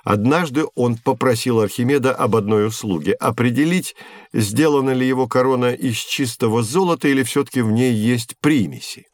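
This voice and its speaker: A patient teacher, acted by a man, explains science in a children's educational audio drama. His mid-pitched voice is measured and didactic, recorded very dry. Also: no room sound, natural voice. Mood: explanatory. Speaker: presenter.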